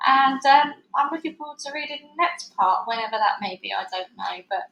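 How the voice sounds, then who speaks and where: Female children's book reader, bright and animated delivery, echoey room with faint noise. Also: on the high side, fairly quick, gravelly, dull audio. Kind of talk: animated read-aloud narration.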